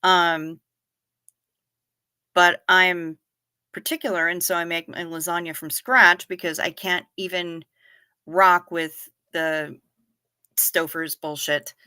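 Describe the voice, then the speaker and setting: Woman, fifties, livestream host. Bright, dry close-mic voice, wry and chatty. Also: on the low side, little pitch movement, slow, long pauses, clear, resonant tone, no echo. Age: 50s. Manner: chatty, wry, swears casually. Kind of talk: casual livestream chat reading comments.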